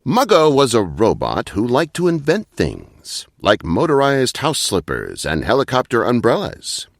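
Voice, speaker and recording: Male narrator for children's picture books: very animated pitch, slow deliberate read, dry studio-style sound. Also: vocal fry, no echo. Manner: expressive, deliberate read-aloud delivery. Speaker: storyteller. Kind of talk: children's bedtime story narration.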